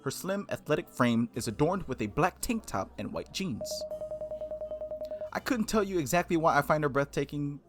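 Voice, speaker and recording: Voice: mid-range voice, animated, normal speed. A let's play streamer reading a visual novel aloud, with casual, jokey asides and stumbles over words. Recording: music behind the voice. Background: background music.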